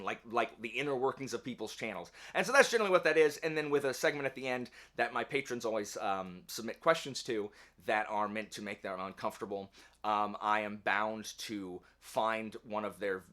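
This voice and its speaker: A man with a bright, forward voice at an ordinary male pitch talks casually as the host of an online channel. He rambles in a wry, self-deprecating way, as if chatting straight to his viewers. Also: animated, a little fast. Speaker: YouTube book channel host. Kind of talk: casual channel update to camera. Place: indoor home office.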